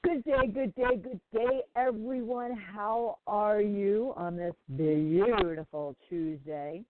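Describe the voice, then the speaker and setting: A woman's voice: mid-range voice, takes it slowly, no echo. A woman hosting a call-in radio show while sick, talking loosely with many ums and restarts. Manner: rambling, with frequent ums and restarts. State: sick, slightly congested. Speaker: talk radio host. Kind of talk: casual call-in radio talk. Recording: tinny dry phone line.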